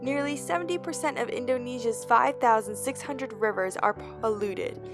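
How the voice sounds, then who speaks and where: A woman's voice: on the high side, normal speed. A woman reading scripted documentary narration full of figures. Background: music.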